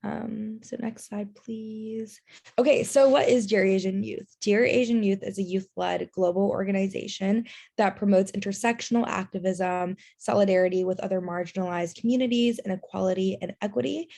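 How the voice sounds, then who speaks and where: A woman's voice: medium pitch, steady tone, moderate pace, vocal fry, warm tone. A young woman giving an earnest online workshop presentation over a video call, with many fillers. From Taiwan and China. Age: young adult.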